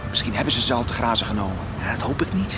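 A man's voice: mid-range voice, lively intonation, very fast, over music, lightly acted. A man playing a keen young police helper with a dog in a radio play, eager and deferential. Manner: keen, deferential, praises his dog fondly.

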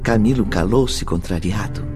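A man's voice: on the low side, normal speed, over music, close to an everyday voice. A man narrating a radio drama with scripted, dramatic storytelling delivery.

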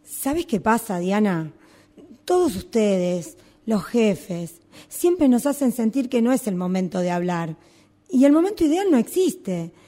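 A woman's voice: a little high, big pitch swings, a little slow, mellow. A woman with a Rioplatense accent playing an indignant employee who confronts her boss with insistent questions, in amateur radio theatre. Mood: worried, insistent. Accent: Rioplatense Argentine Spanish.

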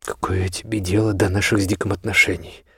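Man voicing a weary, stubborn young earl, low and husky. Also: little pitch movement, brisk, pushed and bright, no echo, exaggerated voice. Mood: weary, defensive. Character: sick exhausted young earl, stubborn and curt.

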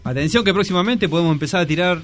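A man's voice: high-pitched, racing. A man of about forty with an Argentine accent, chatting casually as a radio show host. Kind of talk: casual studio chat about metal bands. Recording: loud music under the voices. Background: music.

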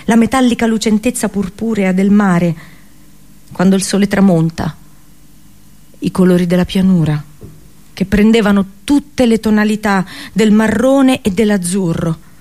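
An actress playing an anguished, passionate captive princess and seer in an intimate, poetic radio monologue. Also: female voice, medium pitch, measured pace, dark tone, lightly acted. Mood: tender, longing. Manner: intimate, poetic, intense delivery.

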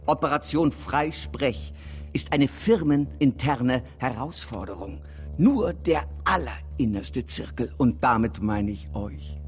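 A man's voice: medium pitch, expressive, slow, faint music behind. An actor playing a secretive, commanding male agency boss in a satirical radio drama, solemn in delivery. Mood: conspiratorial command. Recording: long hall reverb.

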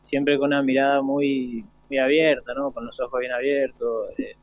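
A man's voice: medium pitch, little pitch movement, moderate pace. A young Argentine man, a student, giving unscripted answers in an interview. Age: young adult. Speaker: university literature student as guest. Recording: thin phone-like radio sound.

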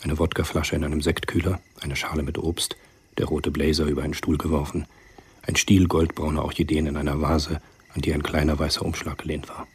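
Radio drama narrator, man: bottom-of-the-range bass, very rough and breathy, scripted storytelling. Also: level tone, a little fast. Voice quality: very deep, husky.

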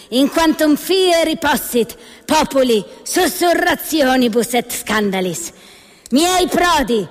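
A woman's voice: sits high, big-room sound. A woman playing a stern, pompous male inquisitor in a satirical stage play, speaking with ceremonious, declamatory weight. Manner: slow, ceremonious, declamatory Latin-laced officialese. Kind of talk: satirical theatre acting.

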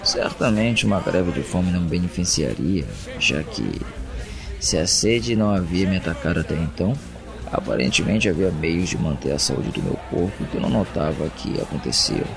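A man with a Brazilian accent playing an introspective, uneasy captive who narrates an audio drama in a brooding, deliberate way. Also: low voice, expressive, gravelly, over music. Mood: resigned reasoning. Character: captive man, introspective, uneasy, reasoning through his confinement.

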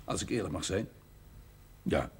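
Blunt village doctor, kinda husky, formal and measured, old tv drama. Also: male voice, low voice, expressive, heavily acted. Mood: reproachful. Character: frank, stern male village doctor. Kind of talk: Dutch television period drama acting.

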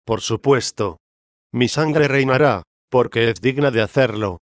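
Un hombre que pone voz al espíritu de una reina difunta, orgullosa y desdeñosa, que responde con altivez. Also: male voice, medium pitch, a little slow, dry recording, clean studio sound, close to an everyday voice. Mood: haughty. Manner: disdainful, imperious. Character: haughty dead queen's spirit, woman, proud, scornful.

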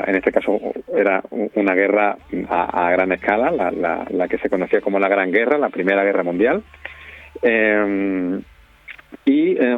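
Over a narrow, dry telephone line, a man answers as an interview guest. He explains at length, haltingly, searching for his words. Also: lowish voice, no echo. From Spain. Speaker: interview guest, community radio member and audio fiction creator. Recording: thin, narrowband phone line.

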